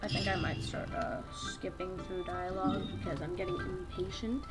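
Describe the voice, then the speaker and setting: A woman's voice: on the low side, steady tone, moderate pace. A young adult woman livestreaming a game, giving casual, chatty reactions as she plays. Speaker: game streamer. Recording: clear game music behind.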